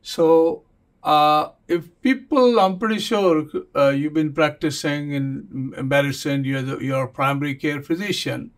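A man's voice: on the high side, a little slow. A man hosting a TV interview, asking questions hesitantly with many fillers and restarts. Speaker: interviewer, TV host.

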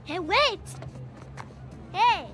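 A woman's voice: very high voice, animated, extremely slow, full character voice. A woman playing a plucky young girl street vendor in a video game, with an Indian accent and a cheeky, persistent haggling manner. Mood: insistent. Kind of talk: cinematic video game acting. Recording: clear outdoor street ambience behind.

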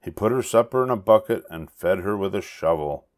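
An older man reading a children's story aloud with expressive narration. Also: low voice, fairly quick. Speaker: storybook reader.

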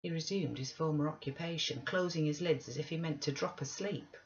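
A woman's voice: low voice, a little fast. A woman in her fifties reading a classic novel aloud at home into a computer microphone. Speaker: reader of audiobook. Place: small indoor room at home. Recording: slightly dull webcam audio.

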